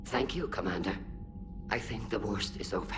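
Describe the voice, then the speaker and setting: An actress playing an authoritative alien admiral in a video game, formal and stern. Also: female voice, very deep voice, normal speed, over music. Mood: grave.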